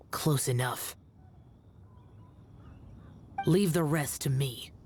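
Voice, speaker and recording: An actor playing a youthful, stern and aloof immortal guardian in an anime video game, speaking formally and curtly. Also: male voice, fairly high pitch, takes it slowly, a little mellow, slight background noise. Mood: solemn. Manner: solemn, formal, curt.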